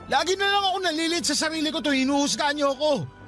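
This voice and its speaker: Struggling son, radio drama: very high pitch, quick delivery, defensive and emotional, music behind. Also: male voice, a bit bright. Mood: bitter. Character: defensive, burdened grown son begging on the streets. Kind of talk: Tagalog radio drama acting.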